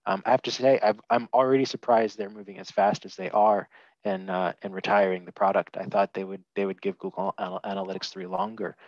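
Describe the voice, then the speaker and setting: Gravelly man on a headset call. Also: lowish voice, steady tone, fairly quick, darkish tone, no echo. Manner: candid, opinionated, many fillers and restarts. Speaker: panelist. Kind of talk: webinar panel discussion. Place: small room indoors. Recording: dull lo-fi video call audio.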